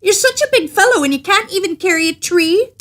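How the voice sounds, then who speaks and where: A woman's voice: very high voice, expressive, fairly quick, a bit bright, voice pushed up. A woman voicing a cheerful, boastful little male tailor in a storybook, with a jaunty, emphatic delivery. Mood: boastful taunting.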